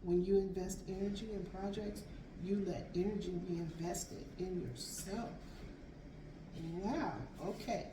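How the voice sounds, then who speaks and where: A woman with a raspy voice reading tarot cards aloud, gentle and affirming. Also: mid-range voice, fairly even intonation, a little slow, recorded from a distance. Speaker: tarot reader. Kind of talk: spiritual card reading. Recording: echoey room, voice from off mic, background noise.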